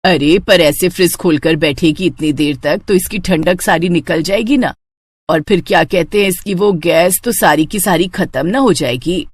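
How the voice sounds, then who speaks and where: A woman's voice: on the low side, moderate pace, breathy edge, no room sound, clean studio sound. A woman voicing a thrifty older mother-in-law in a moral story cartoon, watchful and fond of nagging household advice. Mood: nagging, instructive.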